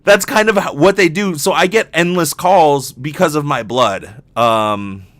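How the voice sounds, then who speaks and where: A man chatting casually as a solo podcast host, rambling and animated, swearing freely and often interrupting himself. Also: fairly high pitch, a little slow, dry recording.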